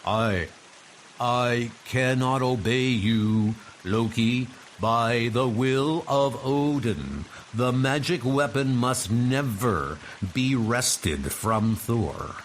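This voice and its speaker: A man's voice: medium pitch, lively intonation, very slow, slight background noise. A man voicing a mighty thunder god in a comic book dub, speaking solemnly and formally. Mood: entranced, obedient.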